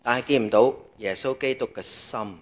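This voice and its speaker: A man with a Cantonese accent preaching a sermon in a conversational way, pausing in short phrases for an interpreter. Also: medium pitch.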